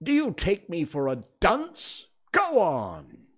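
A man's voice: medium pitch, huge pitch swings, takes it slowly, pitched above the performer's own voice. A man voicing a short-tempered storybook king, imperious and threatening in manner. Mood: angry. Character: bossy, short-tempered male king sitting as judge.

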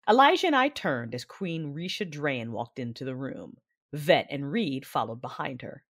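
Low-voiced brooding Sith woman, played by an actress. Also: sing-song, moderate pace, heavily acted. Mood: measured, solemn. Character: brooding Sith woman, grieving yet commanding, narrating her memories. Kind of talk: first-person audio drama narration and dialogue.

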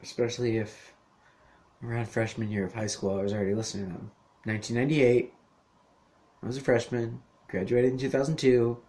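A man's voice: mid-range voice, fairly even intonation, brisk, warm tone. A man in his thirties talking on his own in a rambling solo monologue, with lots of fillers, restarts and casual swearing. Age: 30s. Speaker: podcast host.

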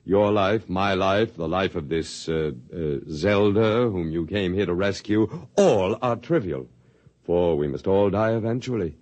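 An actor in a 1950s radio drama playing a smug, condescending bearded scientist with formal, smooth diction. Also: male voice, sits low, a little slow, darkish tone, no room sound. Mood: fervent. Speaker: head of the archive project.

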